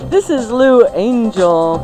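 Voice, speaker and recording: A woman's voice: fairly high pitch, lively intonation, slow pace, over music. A woman co-hosting a radio cooking show with playful, teasing, theatrical banter. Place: radio studio.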